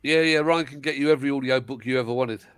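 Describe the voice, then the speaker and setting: A man's voice: mid-range voice, fast, clear, resonant tone, no room sound. A man chatting casually on a live stream panel, easygoing and a little hesitant. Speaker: panel guest. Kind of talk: casual chat.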